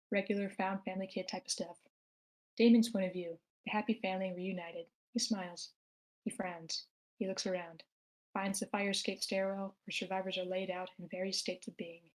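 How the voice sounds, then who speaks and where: A woman narrates stage directions at a screenplay table read. Her pitch is medium and stays quite flat and even. The delivery is that of someone reading a script aloud. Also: normal speed, low-quality recording. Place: online meeting, own room.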